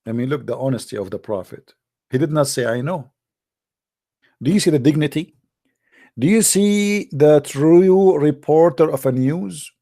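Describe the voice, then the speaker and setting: Gravelly, mellow-voiced man hosting a call-in show. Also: on the high side, moderate pace. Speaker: host of a religious debate call-in show. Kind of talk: live call-in commentary and polemic.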